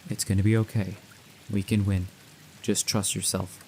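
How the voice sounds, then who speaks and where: A reassuring, confident male ally in an audio drama. His voice is low and fairly even, with faint rainy noise behind. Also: moderate pace, gravelly.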